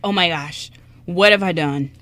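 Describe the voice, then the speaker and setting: A woman's voice: lowish voice, moderate pace, fairly bright tone, lightly acted. A woman playing an anxious, awkward, earnest young man in an amateur radio play, easily flustered. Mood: panicked.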